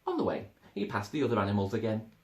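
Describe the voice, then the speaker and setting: A man in his thirties giving an expressive narration of a children's storybook. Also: on the low side, fast talker. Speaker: storybook reader. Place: small living room, indoors. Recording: echoey living room.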